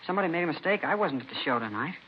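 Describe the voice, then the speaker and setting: An actor playing a sullen, evasive high school boy in a 1950s radio drama, curt and defiant. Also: lively intonation, very fast, lightly acted. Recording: dull, noisy vintage radio recording.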